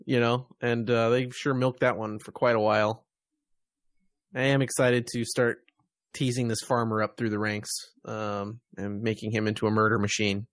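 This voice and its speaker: A man's voice: lowish voice, level tone, normal speed, no echo, studio quality. An American man hosting a podcast, chatting casually about games and media. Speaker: podcast host.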